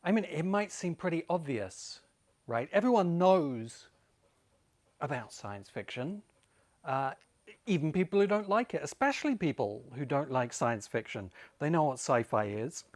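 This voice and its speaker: A British man in his forties presenting an informal explanatory talk as host, speaking emphatically and deliberately with pauses for effect. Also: fairly high pitch, animated, a little mellow. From Great Britain. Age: 40s. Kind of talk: informal explanatory video essay.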